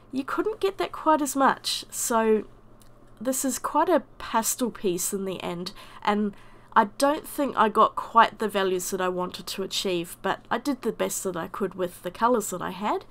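A woman with a croaky voice from a summer cold, chattily narrating a watercolour painting voice-over. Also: medium pitch, a bit bright. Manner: chatty, self-deprecating. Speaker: watercolour artist.

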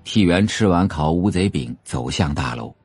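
A man's voice: low-pitched, expressive, normal speed, a little mellow, husky. A man reading scripted narration for an audio drama, telling the story as a novel's narrator. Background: faint music.

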